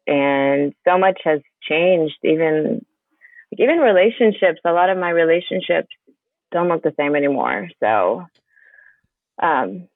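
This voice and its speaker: A woman's voice: low-pitched, expressive, dry recording. Hesitant woman from Palestine, interview guest, dry close sound. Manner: hesitant, halting, with many fillers and restarts. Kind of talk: conversational interview. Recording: tinny phone-like line.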